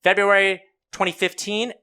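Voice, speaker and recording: A man's voice: high-pitched, level tone, moderate pace, vocal fry, sharp tone, clear, resonant tone. A man hosting a podcast, chatting informally as he steers a panel discussion. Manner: informal, sometimes swearing. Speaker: podcast host. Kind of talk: casual panel podcast chat.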